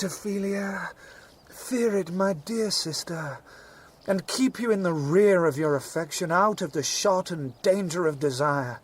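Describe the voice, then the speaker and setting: Man acting an earnest, higher-voiced young nobleman. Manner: earnest, measured, admonishing. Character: earnest, protective young nobleman cautioning his sister. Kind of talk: Shakespearean stage acting.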